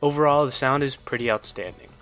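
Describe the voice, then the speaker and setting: A man's voice: medium pitch, quick pace. A man narrating a scripted video game review in an enthusiastic, opinionated way. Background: background music.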